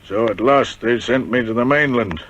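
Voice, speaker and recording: An actor playing an old ex-convict in a radio drama, telling vivid, brooding tales of a prison escape. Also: male voice, medium pitch, moderate pace, a bit bright, a little hiss or hum, natural voice. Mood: grimly reminiscing. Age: older. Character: old male ex-convict haunted by Devil's Island escape. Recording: muffled vintage radio drama recording.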